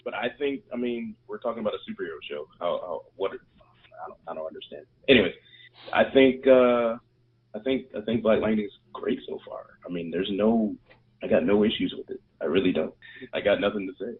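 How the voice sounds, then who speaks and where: A very deep, husky-voiced man co-hosting a casual podcast, blunt and dismissive in his opinions. His voice comes through narrow and tinny, like a phone line. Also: fairly quick. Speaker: podcast co-host. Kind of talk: casual TV review chat.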